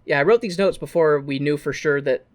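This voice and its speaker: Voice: mid-range voice, fairly quick. Sweary podcast co-host recapping a film. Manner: sweary, acting out mocking quotes. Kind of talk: casual, comedic recap of a musical film.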